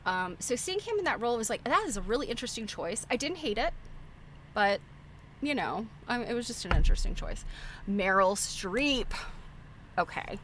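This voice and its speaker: A woman's voice: on the high side, very animated, moderate pace, a bit bright, slight background noise. A middle-aged woman hosting a livestream, chatting informally and giving opinionated, rambling film commentary. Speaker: livestream host. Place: live internet stream.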